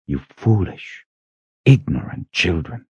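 A man's voice: low voice, sing-song, slow pace, a little mellow, husky, no room sound, lo-fi sound, exaggerated voice. A man voicing a furious, commanding witch woman in an audiobook, full of accusing menace. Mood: cold menace. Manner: hissing threats, accusing rhetorical questions.

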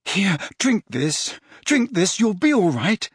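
A man voicing a frightened teenage boy wizard in an audiobook, urgent and coaxing with a strained, breathy voice. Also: sits high, very animated, unhurried, pushed and bright, dry recording, studio quality, voice pushed up, full character voice. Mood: desperate urging.

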